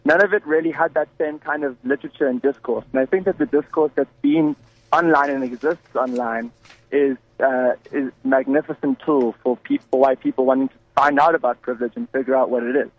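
A man calling in to a radio show, speaking hesitantly with many pauses and restarts. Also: mid-range voice, no echo. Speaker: caller. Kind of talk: phone-in talk radio. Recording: tinny dry phone line.

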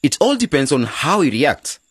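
A man playing an earnest, driven young village project leader with a husky voice in a radio drama. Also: fairly high pitch, very animated, normal speed, fairly bright tone, no room sound, exaggerated voice. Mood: persuasive.

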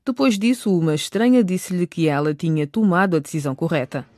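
A woman's voice: fairly low pitch, brisk, a little mellow, no echo, clean studio sound. A woman narrating a radio drama episode with a scripted recap.

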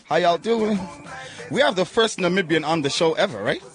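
High, animated young male radio host. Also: moderate pace, soft background music. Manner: joking, teasing, animated. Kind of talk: casual radio banter.

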